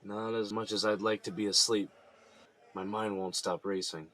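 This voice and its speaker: Guy playing earnest tired inmate, low voice w a crisp bright edge, reflective, audio drama. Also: flat delivery, normal speed. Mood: weary, uncertain. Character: earnest, weary male defendant held in jail.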